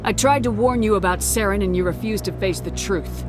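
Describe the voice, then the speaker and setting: An actress playing a curt, blunt female military commander, with loud game music behind. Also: medium pitch, a little fast, lightly acted.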